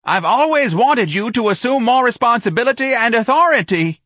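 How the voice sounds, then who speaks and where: A nervous office manager fawns and strains upward in pitch, as a male narrator voices him. His falseness shows in every line. The sound is dry and close. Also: very animated, normal speed, fairly bright tone, breathy edge, no room sound, exaggerated voice. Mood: fawning. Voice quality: nervous, fawning.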